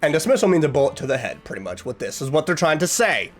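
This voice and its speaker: A man giving casual, sarcastic reaction commentary with animated, mock-excited asides over background music. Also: on the high side, quick pace. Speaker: reaction video host.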